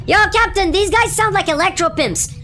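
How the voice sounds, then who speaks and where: A very high, sharp-voiced young stoner crewman acted by a woman in an amateur space comedy radio play. He talks in slangy, excitable drawls, with some noise behind the voice. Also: normal speed. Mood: alert.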